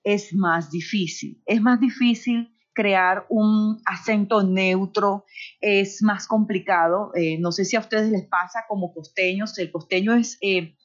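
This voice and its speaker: A woman's voice: mid-range voice, a little slow. Colombian coastal woman, dull lo-fi audio. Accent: Colombian Caribbean coast, somewhat neutralized. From Barranquilla, Colombia. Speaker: interview guest, radio host by profession. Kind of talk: conversational interview, personal anecdotes. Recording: dull lo-fi internet call audio.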